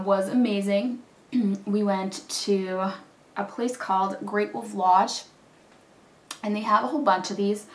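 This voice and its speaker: A woman in her thirties, slightly hoarse from a cold, chatting casually and rambling with lots of fillers while doing her makeup at home. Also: mid-range voice. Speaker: beauty vlogger. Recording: roomy echo, mic at a distance.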